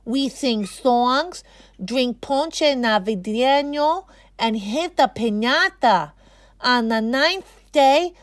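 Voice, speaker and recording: A woman voicing an excited, proud young schoolboy in a children's storybook, with emphatic, stretched-out delivery. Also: high voice, dry recording, clean studio sound, pitched above the performer's own voice. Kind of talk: children's storybook read-aloud.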